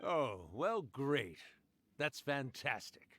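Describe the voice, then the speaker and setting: A man's voice: mid-range voice, very animated, slow, fairly bright tone, exaggerated voice. A man playing an ancient, genial yokai storyteller in a video game, with a playful, theatrical, musing delivery.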